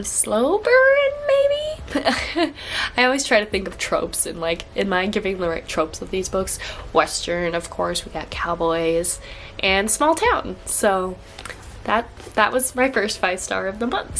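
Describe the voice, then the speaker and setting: A woman's voice: mid-range voice, animated, a little slow, fairly bright tone, a little hiss or hum. A young woman with a stuffy, congested nose, chatting upbeat and gushing as a book vlogger, with lots of fillers. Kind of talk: casual chatty book review.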